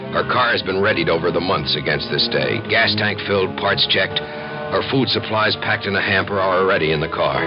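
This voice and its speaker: A man's voice: low voice, close to an everyday voice. An American man playing a grave narrator of a civil defense dramatization, terse and solemn in a scripted 1950s radio style. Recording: dull vintage radio recording with music.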